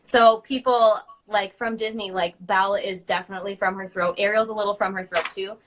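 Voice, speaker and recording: A woman's voice: mid-range voice, steady tone, fairly quick, a bit bright, slight background noise, low-quality recording. A woman co-hosting a casual chat in an informal, agreeable, giggly way. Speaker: children's party princess performer, co-host.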